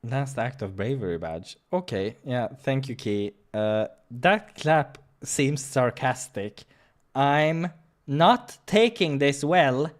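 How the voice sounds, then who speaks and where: A man's voice: mid-range voice, lively intonation, slow pace. Guy doing a visual novel playthrough, takes his time, medium voice, narrates and comments casually. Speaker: gaming playthrough host.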